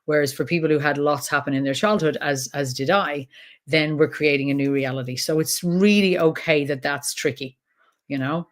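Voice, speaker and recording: A woman with an Irish accent, a trauma expert talking as a guest in an interview, blunt and animated, swearing freely. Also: deep voice, moderate pace. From Ireland. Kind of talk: podcast interview conversation.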